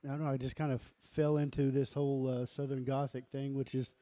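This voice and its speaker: A man with a lower voice talking casually as an interview guest, halting and often repeating himself. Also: level tone, warm tone, no room sound. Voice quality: lower register voice. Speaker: musician and label founder guest.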